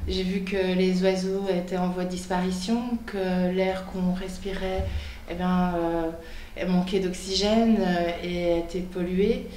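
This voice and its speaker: A woman candidate speaking earnestly, pausing on fillers. Her voice is fairly low and echoes in a roomy studio. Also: fairly even intonation, dark tone, slight background noise. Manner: earnest, committed, with frequent fillers. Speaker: political candidate. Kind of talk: spontaneous speech. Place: television studio in Brussels.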